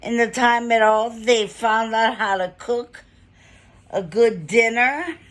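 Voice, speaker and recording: A woman reading a children's storybook aloud in short phrases with pauses, now and then adding casual asides. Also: a little high, fairly bright tone. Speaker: storybook reader.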